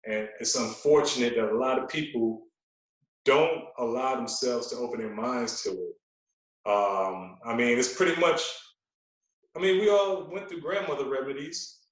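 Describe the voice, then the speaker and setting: A man's voice: mid-range voice, moderate pace, far from the mic. A man talking casually as a podcast guest and fitness trainer, explaining things emphatically and repeating phrases to drive them home. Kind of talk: casual conversational interview talk. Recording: very echoey, roomy sound.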